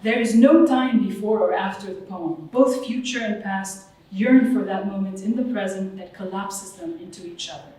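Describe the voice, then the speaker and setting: A woman from Lebanon giving an academic lecture on Arabic poetry, measured and thoughtful with some hesitations. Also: medium pitch, mellow. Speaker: guest lecturer on Arabic literature. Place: lecture room at a cultural center. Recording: distant mic in a very echoey hall.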